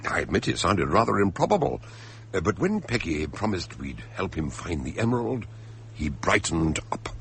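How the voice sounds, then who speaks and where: A cautious gentlemanly doctor telling a mystery in a radio play. His low voice moves very expressively in pitch, with some creak. He sounds grave, measured and a little anxious. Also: big pitch swings, a little hiss or hum, exaggerated voice. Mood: storytelling. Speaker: narrator and character. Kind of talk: radio drama narration and dialogue.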